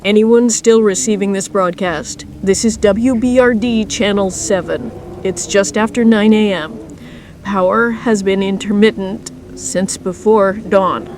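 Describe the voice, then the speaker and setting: A woman playing a weary emergency radio announcer in an apocalypse radio drama, speaking in a grave, deliberate manner. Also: mid-range voice, darkish tone, slight background noise, natural voice. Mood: grave, steady. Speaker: radio news broadcaster. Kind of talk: scripted radio drama broadcast.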